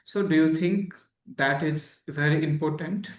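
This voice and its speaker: A man's voice: a little high, measured pace, reverberant. A man hosting a casual interview, asking questions hesitantly with many fillers. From India. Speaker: channel host.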